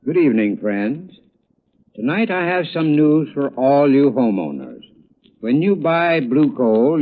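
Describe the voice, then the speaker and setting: A man with an American accent, a spokesman delivering a scripted 1930s radio commercial in an earnest, formal manner. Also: mid-range voice. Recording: tinny, narrow 1930s radio recording.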